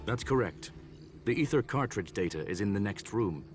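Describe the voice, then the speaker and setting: A man voicing a learned mechanical gentleman in a video game, formal, calm and eloquent. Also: fairly low pitch, moderate pace, close to an everyday voice. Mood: calm, musing. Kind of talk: scripted video game dialogue. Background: game music.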